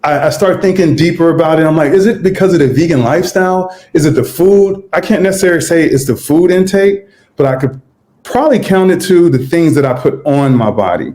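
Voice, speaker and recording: A man's voice: on the high side, lively intonation, a little mellow. Easygoing man in his forties, roomy sound. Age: 40s. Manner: easygoing, unhurried storyteller who acts out his own past thoughts. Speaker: guest, vegan chef. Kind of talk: casual interview storytelling. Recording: roomy echo.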